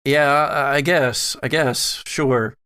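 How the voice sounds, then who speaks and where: A man playing a weary, injured, reluctant survivor in a tabletop horror game, halting and stammering. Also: medium pitch, steady tone, pushed and bright, clean tone.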